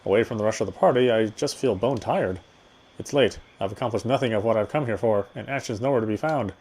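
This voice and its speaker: A man's voice: lowish voice, fast talker, darkish tone, slight background noise. A man reading visual novel narration aloud, expressively, with occasional stumbles over words. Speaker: game streamer. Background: game music.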